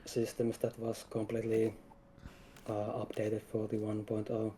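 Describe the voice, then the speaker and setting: A man talking casually as a guest game developer, hesitant and pausing mid-sentence. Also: fairly low pitch, monotone, dark tone, slight background noise. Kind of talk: casual livestream chat. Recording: faint music behind the voice.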